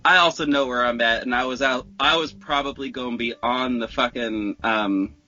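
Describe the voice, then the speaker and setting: A man's voice: mid-range voice, moderate pace, bright, forceful tone, lo-fi sound. A man in casual podcast banter, playful and exclamatory, swearing freely. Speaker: podcast co-speaker.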